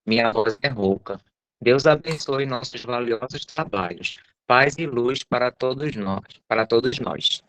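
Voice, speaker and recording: A man's voice: medium pitch, unhurried, a bit bright, a little hiss or hum, rough recording. A Brazilian man reading participants' messages aloud to a group and replying informally.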